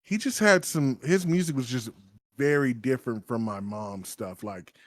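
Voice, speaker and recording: A man's voice: medium pitch, moderate pace, no echo, studio quality. A man co-hosting a podcast, rambling through stories with lots of fillers and swearing. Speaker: podcast co-host. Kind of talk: casual chat.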